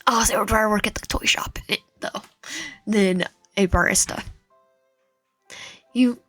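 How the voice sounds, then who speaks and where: A woman reads a visual novel aloud for a gaming video. Her delivery is halting, with stumbles that she corrects and casual asides of her own. Music plays behind her voice. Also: mid-range voice, fairly bright tone, dry recording. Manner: halting reading with frequent stumbles and self-corrections. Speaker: video game streamer reading aloud. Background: background music.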